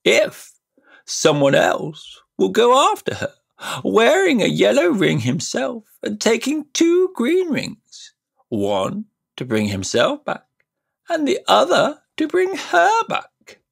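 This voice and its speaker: A man's voice: wildly swooping pitch, takes it slowly, vocal fry, slightly rough, full character voice. A man voicing a pompous, cruel elderly male magician in a storybook reading, blustering and haughty. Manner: high, blustering, haughty and indignant. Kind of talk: audiobook character voice.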